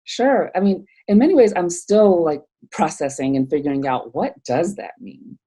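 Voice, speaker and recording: A woman's voice: lowish voice, normal speed, warm tone, breathy edge. A woman born in Nigeria, speaking as a guest in an interview, thoughtful and hesitant, with many fillers and restarts. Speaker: theologian guest. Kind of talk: reflective interview conversation.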